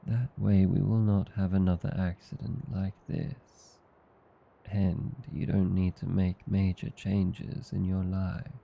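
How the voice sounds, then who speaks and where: A man with an Australian accent playing a gentle, reassuring vampire husband in an intimate ASMR roleplay, tender and soothing. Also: little pitch movement, takes it slowly, lo-fi sound, heavily acted. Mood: gently persuasive. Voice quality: low, dark, gravelly. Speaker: voice actor.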